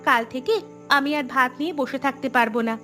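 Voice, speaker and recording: A woman's voice: high voice, quick pace, pushed and bright, soft background music. A woman voicing an aggrieved, quarrelsome young wife in a village cartoon, arguing and complaining. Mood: indignant. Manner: complaining, argumentative. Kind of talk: Bengali moral-tale cartoon dubbing.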